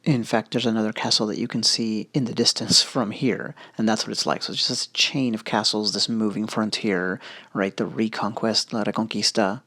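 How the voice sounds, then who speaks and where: A lower-pitched man with a gravelly edge and a bright, forward tone narrates in a relaxed, conversational way. He leans on fillers and casual asides, and the voice-over sounds dry and close. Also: normal speed, no room sound. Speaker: history video host. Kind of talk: casual narrated travel commentary. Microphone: voice-over recorded separately.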